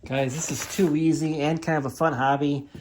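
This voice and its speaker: A man with an American accent and a slightly high voice talks through gear in a casual, chatty way. He addresses viewers informally, like a beginner-friendly review host. There is some faint noise behind him. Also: little pitch movement, moderate pace. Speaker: host of a product review video.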